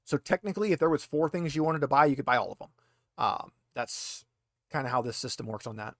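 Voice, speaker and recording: A man's voice: medium pitch, lively intonation, quick pace, fairly bright tone, no echo. Man explaining tabletop game rules, close dry sound. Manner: casual, explanatory. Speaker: game master.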